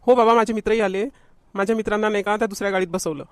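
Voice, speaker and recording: A man's voice: sits high, fairly even intonation, very fast, dry recording, natural voice. A man voicing a respectful young adult groom in a cartoon family comedy. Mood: assuring. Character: respectful young groom answering his father. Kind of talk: homemade cartoon comedy dialogue.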